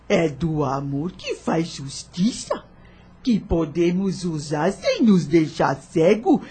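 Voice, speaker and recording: Slow, husky old nanny, gentle and wise. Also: female voice, mid-range voice, exaggerated voice. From taken by force from her homeland. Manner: rustic uneducated grammar, patient moral teaching. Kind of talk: radio drama acting.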